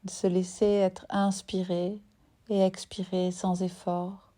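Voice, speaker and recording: A woman leads a guided yoga nidra relaxation as the teacher, soothing and unhurried, leaving long pauses between short phrases. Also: lowish voice, little pitch movement, mellow. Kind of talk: guided meditation.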